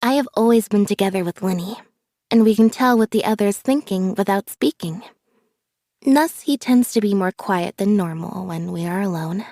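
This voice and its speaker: A woman voicing a reserved, observant young female magician's assistant in a video game, speaking in a flat, measured, deadpan way. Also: mid-range voice, no room sound, studio quality, natural voice. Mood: calm, matter-of-fact. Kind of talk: scripted video game character voice lines.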